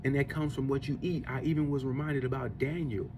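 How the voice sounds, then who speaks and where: A man in his forties speaks in an earnest, preachy way, delivering a faith-based motivational monologue. His pitch sits in an ordinary middle range. A clear rumble of a vehicle runs underneath the recording. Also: fairly even intonation, brisk. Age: 40s. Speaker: podcast host.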